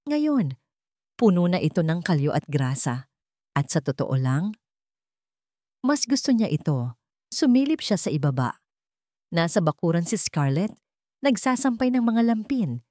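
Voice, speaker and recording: A Filipino woman reads scripted romantic fiction as a storyteller. Her voice sits fairly low, and the sound is dry, with almost no room around it. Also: sing-song, a little slow, long pauses, warm tone, no room sound.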